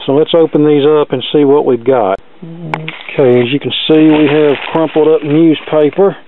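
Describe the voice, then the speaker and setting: A man's voice: a little high, moderate pace, narrow, tinny audio, a little hiss or hum. A man hosting a casual unboxing video, giving practical advice in a plainspoken, folksy, matter-of-fact way. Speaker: video host, record collector.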